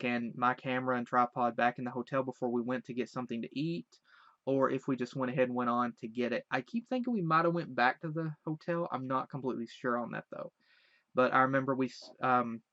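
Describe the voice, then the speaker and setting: A man's voice: mid-range voice, fairly bright tone, dry recording, dull audio. A man from Virginia with a light Southern accent, casually recalling a trip in a rambling, hesitant way with many restarts. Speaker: vlogger. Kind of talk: casual recollection.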